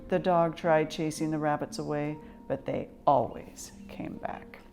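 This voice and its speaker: A woman reading a children's picture book aloud in an expressive scripted storytelling style. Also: low voice, a little slow, creaky, soft background music. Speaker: storybook reader.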